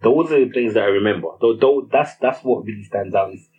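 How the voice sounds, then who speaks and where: Young nigerian guy talking about his family, lowish voice, bit muffled, faint hiss behind. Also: a little fast, darkish tone. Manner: hesitant, lots of restarts and fillers. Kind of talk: personal storytelling monologue. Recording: slightly muffled with faint ambient noise.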